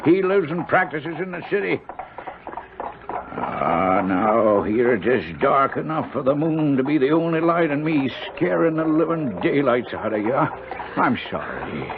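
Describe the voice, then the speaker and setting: An actor playing a chatty older hired driver in a 1970s radio drama, with a creaky voice and folksy, rambling, superstitious country talk. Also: male voice, on the high side, sing-song, a little slow. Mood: spooky, ominous. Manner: folksy, rambling, old-fashioned rural turns of phrase. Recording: noisy, narrow-band old radio broadcast.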